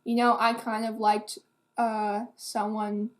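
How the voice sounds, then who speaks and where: A woman's voice: a little high, little pitch movement, takes it slowly. An eighteen-year-old woman giving a casual, rambling book review to camera in a candid, self-deprecating, hesitant way.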